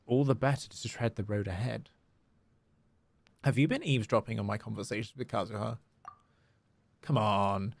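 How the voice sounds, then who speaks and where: A man livestreaming a game, excitable, casually commenting and reading dialogue aloud, with exclamations. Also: fairly low pitch, gravelly. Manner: excitable, reacts with gasps and drawn-out exclamations. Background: game music.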